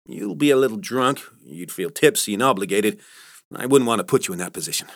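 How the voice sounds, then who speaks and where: A man doing a sly, smooth sales boss. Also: lowish voice, expressive, a little fast, dry recording, clean studio sound. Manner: smooth, self-mocking. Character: sly middle-aged male sales boss.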